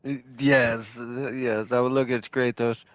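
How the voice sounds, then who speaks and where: A man playing a tabletop roleplaying game, talking casually and haltingly, feeling his way into each sentence. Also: mid-range voice, flat delivery, fairly bright tone, no echo. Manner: hesitant, halting.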